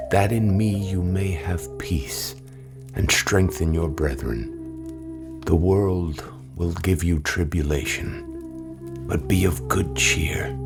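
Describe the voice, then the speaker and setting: An actor playing Jesus as a calm, solemn teacher who speaks gravely, at times in a whisper. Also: male voice, sits low, exaggerated voice. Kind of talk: audio drama acting. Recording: music score behind.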